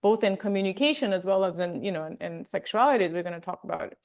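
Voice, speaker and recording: A woman's voice: mid-range voice, fast talker, darkish tone. A woman from Armenia, a wellness coach and interview guest, telling stories in a chatty, casual way with lots of fillers.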